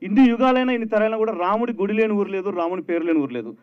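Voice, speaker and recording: A male film director speaks at a promotional launch, thanking people. His voice is high and he talks very quickly, slipping in English words. The sound is dull and lo-fi. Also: clean tone. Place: film launch event, at a microphone. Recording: dull, lo-fi event PA recording.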